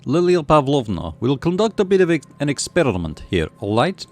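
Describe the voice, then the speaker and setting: A man voicing a composed police lieutenant in a visual novel, measured and inquiring. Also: a little high, big pitch swings, darkish tone, pitched above the performer's own voice. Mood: calm, inquiring. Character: composed male police lieutenant questioning schoolchildren.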